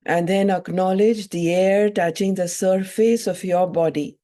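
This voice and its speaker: A woman yoga teacher leading a guided meditation in soothing, measured phrases with long pauses between them. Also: mid-range voice, fairly even intonation, mellow.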